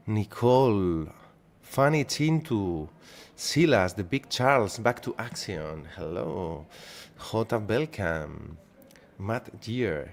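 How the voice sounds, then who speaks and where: A man's voice: medium pitch, darkish tone. A man with a Spanish accent, a native Spanish speaker, hosting a live trading webinar in casual chat, greeting viewers by name and often repeating himself. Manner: chatty, greets viewers by name, repeats phrases, restarts sentences.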